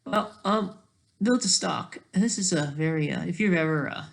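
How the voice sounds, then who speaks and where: Casual video creator, mid-range pitch with heavy vocal fry, rambles and thinks out loud. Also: normal speed. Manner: rambling, thinking aloud, frequently digressing. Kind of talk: casual rambling screen-share commentary.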